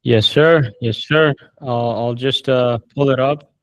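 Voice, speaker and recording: A man hosting a casual group video call, relaxed and hesitant, often restarting his sentences. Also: mid-range voice, takes it slowly, poor audio. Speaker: call host answering members' questions.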